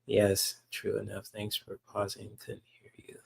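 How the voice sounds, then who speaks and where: A man hosting a casual reaction podcast who talks softly and rambles, with many "you know"s and restarts. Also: fairly low pitch, completely flat delivery, measured pace, gravelly, slightly rough. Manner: soft-spoken, rambling, many fillers. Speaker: podcast host reacting to a clip.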